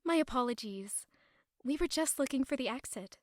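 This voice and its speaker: A woman's voice: sits high, lively intonation, moderate pace, no room sound, clean studio sound. A woman acting as a headstrong, guarded young noblewoman in a fantasy audio drama, earnest and defiant. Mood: subdued.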